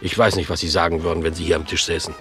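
Actor as smug cold traitor, deep voice, mocking, music playing in a bar behind. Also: male voice, little pitch movement, racing, natural voice. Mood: sneering. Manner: cool, mocking, evasive. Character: Paul, smug cold traitor, middle-aged man. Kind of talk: radio play acting. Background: bar piano music.

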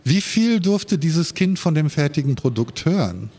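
Male interview moderator, slightly high register, articulate and formal questioning. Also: very animated, moderate pace, mellow. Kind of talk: stage interview, measured questioning.

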